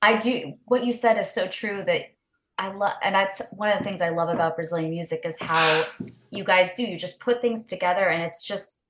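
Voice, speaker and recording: A woman's voice: on the low side, moderate pace, noisy background. A woman hosting an interview, asking questions and encouraging her guest with frequent affirmations. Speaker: interviewer host. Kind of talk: conversational interview.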